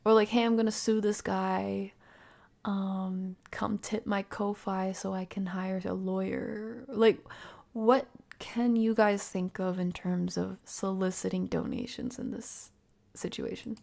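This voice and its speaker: Creaky-voiced woman thinking aloud on stream. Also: mid-range voice, takes it slowly. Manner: animated, slangy, analytical, thinking aloud. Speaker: livestream host reacting to a video. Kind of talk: casual reaction commentary to live viewers.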